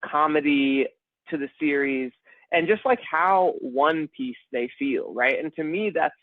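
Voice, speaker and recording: A man talks in loose, rambling bursts on a podcast, tossing in bro and man as he goes. His voice sits a bit high and sounds dry and close, squeezed into a narrow phone-like band. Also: measured pace, no room sound. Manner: rambling, animated, says bro and man a lot. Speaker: podcast co-host. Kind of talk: casual podcast chat.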